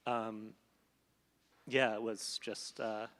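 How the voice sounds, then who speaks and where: A man's voice: on the low side, little pitch movement, drawn-out words, a bit bright. A man, a translator, talking reflectively onstage before an audience and often searching for his words. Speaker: translator in a post-reading conversation. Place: stage of a large hall before an audience. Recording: reverberant hall sound.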